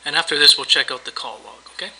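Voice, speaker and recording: A man presenting a software tutorial, narrating a screen demo in a casual, explanatory, step-by-step way. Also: on the high side, fast, a little hiss or hum. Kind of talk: screencast demo narration, semi-improvised walkthrough.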